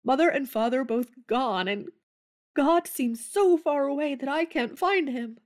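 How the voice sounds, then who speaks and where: A woman's voice: high voice, expressive, unhurried. A woman playing Jo, a spirited young woman anguished over her sick sister, in an audio drama. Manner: anguished, tearful.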